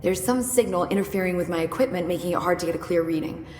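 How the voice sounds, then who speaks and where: An actress playing a witty, curious young research scientist in a video game, explaining things in a precise, wry, chatty way. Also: female voice, fairly low pitch, fast, a little mellow, close to an everyday voice. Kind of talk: video game dialogue acting. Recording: echoey hall reverb with noisy game ambience.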